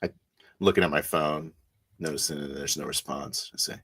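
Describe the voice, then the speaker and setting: A man improvising first-person narration as a tabletop roleplay player, halting and offhand. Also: a bass voice, steady tone, a bit bright, breathy edge.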